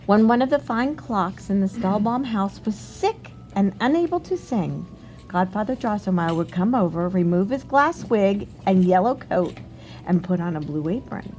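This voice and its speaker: A woman reading a storybook aloud like a teacher, expressive and instructive, pausing to put questions to young listeners. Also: mid-range voice, faint music behind.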